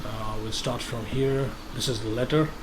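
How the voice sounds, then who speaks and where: A man giving casual gameplay commentary, thinking aloud with halting restarts. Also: mid-range voice, fairly even intonation, noisy background.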